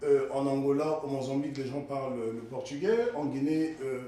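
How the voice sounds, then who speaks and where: A man from the Republic of the Congo, a guest giving interview answers, talking in a measured, corrective way that gains force when he argues. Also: mid-range voice, dark tone. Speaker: guest, historiographer and writer. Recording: echoey room, mic far off, faint noise.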